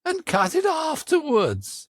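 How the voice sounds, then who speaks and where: A haughty, peevish unicorn from a children's storybook. The voice is pitched very high above a normal speaking range, and it exclaims everything with loud indignation. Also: male voice, wildly swooping pitch, slow pace, dry recording, studio quality, full character voice. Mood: haughty, instructing.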